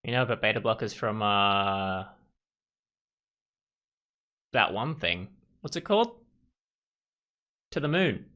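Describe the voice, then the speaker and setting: A man's voice: lowish voice, sing-song, normal speed, pushed and bright. A man hosting a gaming video with casual, musing let's play commentary. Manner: musing, informal.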